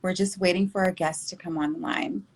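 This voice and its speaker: A woman's voice: fairly low pitch, fairly even intonation, moderate pace. A woman hosting a live online interview show, bubbly and effusive as she welcomes her guest. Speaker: host.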